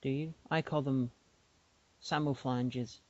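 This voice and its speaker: A woman's voice: a bass voice, fairly even intonation, takes it slowly, no room sound. A woman co-hosting a podcast, playful and rambling, often restarting her sentences in casual chat. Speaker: podcast co-host. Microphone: remote call microphone. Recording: dull lo-fi online call audio.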